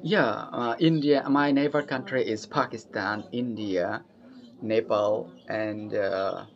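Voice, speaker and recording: A young man from Bangladesh with a Bangladeshi accent, chatting eagerly in English practice, often laughing and pausing with fillers. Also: lowish voice, fairly even intonation. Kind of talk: casual conversation practice. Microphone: video call. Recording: dull lo-fi call audio.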